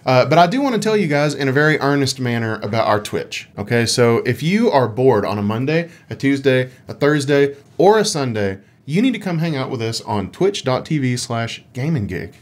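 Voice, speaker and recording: A man's voice: medium pitch, big pitch swings, moderate pace, few pauses. A man co-hosting a casual gaming podcast with a dry, tongue-in-cheek way of talking. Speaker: podcast co-host.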